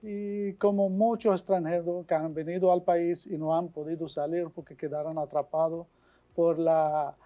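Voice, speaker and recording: A man from Syria with a Syrian accent, speaking Spanish as a guest surgeon in an earnest, measured way with small restarts. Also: fairly high pitch, flat delivery, warm tone. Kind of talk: interview answers.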